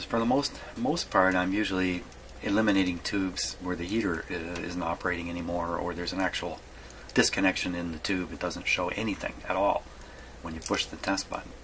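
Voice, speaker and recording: Low-voiced man thinking aloud, noisy recording. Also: fairly even intonation, pushed and bright. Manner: halting, muttering to himself, repeats words. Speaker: hobbyist radio repairer presenting his workshop video. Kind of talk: casual hands-on demonstration, thinking aloud. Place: radio repair workshop. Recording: clearly noisy workshop recording.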